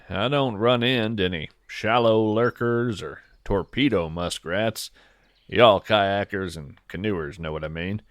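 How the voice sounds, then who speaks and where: A man playing an earnest, wonder-struck naturalist, hosting a scripted fiction nature podcast in a gentle, folksy, lyrical manner. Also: fairly low pitch, no room sound. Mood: folksy, easygoing. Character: earnest, wonder-struck male naturalist who seeks cryptids. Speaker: podcast host.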